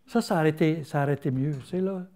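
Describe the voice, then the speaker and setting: An older man with a rural Quebec French accent, telling stories in a casual interview, folksy and halting, full of fillers and restarts. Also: fairly high pitch, warm tone. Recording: interview filmed on camera.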